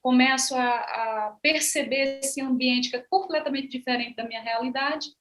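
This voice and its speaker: A woman's voice: high-pitched, steady tone, fairly bright tone. Hesitant woman from Maranhão, Brazil. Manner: unhurried, hesitant, frequent "né" tags. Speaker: artist and actress, panel speaker. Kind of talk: panel talk, personal account.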